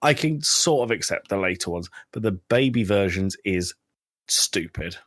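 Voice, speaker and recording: A man's voice: low voice, animated, moderate pace, fairly bright tone, no room sound, studio quality. A man co-hosting a podcast in casual banter, argumentative and exasperated, swearing freely. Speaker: podcast co-host.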